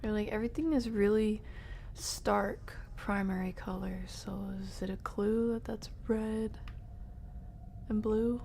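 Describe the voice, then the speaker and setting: Soft, mellow, slow-talking young woman streamer. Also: mid-range voice, creaky, a little hiss or hum. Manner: playful, rambling, murmuring to herself. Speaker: game streamer. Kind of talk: casual live stream chat while playing. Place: her room.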